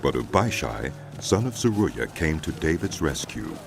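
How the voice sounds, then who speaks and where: A very low-voiced man narrates dramatized scripture with music behind him. His delivery is solemn and lively in pitch, shaped like storytelling. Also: unhurried, vocal fry. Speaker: Bible narrator. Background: background music.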